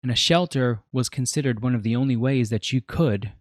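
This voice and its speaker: A man's voice: mid-range voice, brisk, no room sound, clean studio sound. A man in his forties narrating a scripted video review as its host, explaining in an earnest, emphatic way. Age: 40s.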